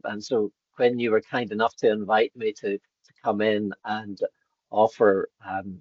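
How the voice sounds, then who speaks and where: A low, husky-voiced man speaks as a contributing scholar, restarting his sentences often. The audio is dry and muffled, with no room sound at all. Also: darkish tone, dry recording. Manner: halting, repeated restarts. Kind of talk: conversational interview.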